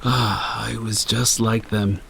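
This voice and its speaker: A man's voice: on the low side, level tone, slow pace, creaky, a bit bright, a little hiss or hum, full character voice. An actor playing an easygoing, amused older male archaeologist in an audio drama, indulgent in manner. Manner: indulgent, chuckling.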